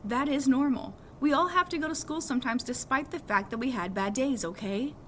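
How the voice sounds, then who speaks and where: A woman's voice: sits high, brisk, a bit bright, pitched above the performer's own voice. A woman voicing an earnest, impatient teenage big sister in a novel read-aloud, with emphatic, persuasive delivery. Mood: earnest, pleading. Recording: clearly audible background noise.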